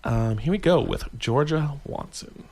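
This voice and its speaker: A Canadian man chatting casually as a radio co-host, in a halting, informal way. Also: on the high side, animated, a little mellow, a little hiss or hum. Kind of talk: casual chat.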